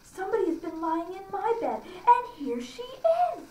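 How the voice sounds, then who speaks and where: A woman voicing an upset little wee bear in a fairy tale, with a small, sharp, shrill voice. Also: wildly swooping pitch, moderate pace, noisy background, exaggerated voice. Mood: upset, indignant. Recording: echoey room, distant mic.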